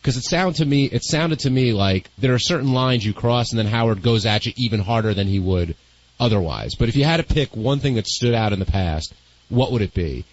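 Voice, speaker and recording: Low-voiced man moderating a discussion. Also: muffled recording. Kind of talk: conversational hosting, posing questions.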